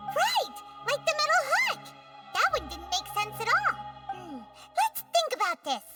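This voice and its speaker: A woman voicing an excitable, childlike little female game character playing detective, theatrical and exclaiming. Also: extremely high pitch, a little slow, exaggerated voice. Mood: excited. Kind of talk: video game voice acting. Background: background music.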